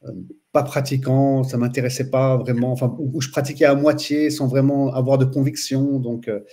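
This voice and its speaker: A man in his forties from France, a teacher telling his story as a guest in a relaxed interview, pausing often with fillers. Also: medium pitch. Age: 40s. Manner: hesitant, frequent pauses and fillers. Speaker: guest, Islamic studies teacher. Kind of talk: casual interview chat.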